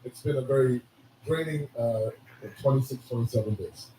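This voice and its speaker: A hoarse man giving a sermon, preaching with animated rhetorical questions. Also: mid-range voice, normal speed, slight background noise. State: hoarse, losing his voice. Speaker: religious speaker. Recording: echoey hall from a distance.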